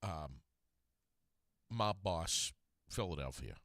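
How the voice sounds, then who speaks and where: Male radio host, casual banter, lively low voice, close dry studio mic. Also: slow, no echo. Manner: animated, rising up on emphasis.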